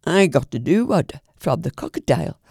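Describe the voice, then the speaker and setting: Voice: medium pitch, sing-song, moderate pace, vocal fry, darkish tone, husky, no room sound, studio quality, heavily acted. A storyteller voicing a cheeky young elephant calf. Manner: polite, then boastful.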